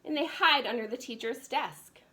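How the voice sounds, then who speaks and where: A woman's voice: on the high side, normal speed, pushed and bright, pitched above the performer's own voice. A woman voicing a stern, stubborn male school principal in a children's storybook, emphatic and scolding. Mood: stern, dismissive. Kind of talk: children's storybook read-aloud.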